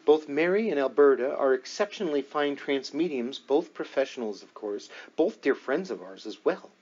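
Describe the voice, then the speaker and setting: A man voicing a composed, earnest woman psychic investigator in an audiobook, measured, matter-of-fact and assured. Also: medium pitch, lively intonation, a little mellow, lo-fi sound, pitched below the performer's own voice, lightly acted. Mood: composed, explanatory. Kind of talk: audiobook dialogue reading.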